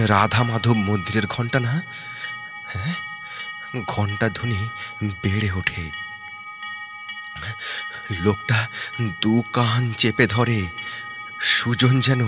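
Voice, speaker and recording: A man narrating a horror story in a dramatic, suspenseful way, in short halting phrases. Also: fairly low pitch. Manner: suspenseful, halting short phrases, dropping to hushed breathy tones. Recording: background music under the voice. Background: prominent music.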